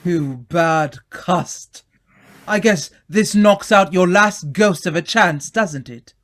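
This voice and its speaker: A man playing a stern, indignant gentleman in an old-time radio mystery, scornful and accusing in a clipped manner. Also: high voice, slow pace, noisy background. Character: stern, indignant gentleman demanding justice for his brother.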